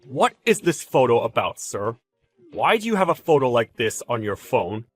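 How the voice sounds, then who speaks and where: A man playing a calm, polite, deferential employee in a dramatized story, speaking in a measured and formal way. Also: medium pitch, dry recording, faint music behind, pitched below the performer's own voice. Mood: calm, puzzled. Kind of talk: dramatized story narration with character voices.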